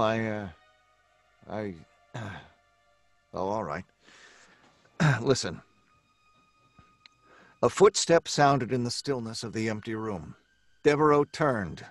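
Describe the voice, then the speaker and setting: A frazzled, animated mystery writer. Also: male voice, lowish voice, no room sound, exaggerated voice. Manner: stammering, blustering, exasperated. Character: frazzled, irritable male mystery writer under deadline. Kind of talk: old time radio drama acting.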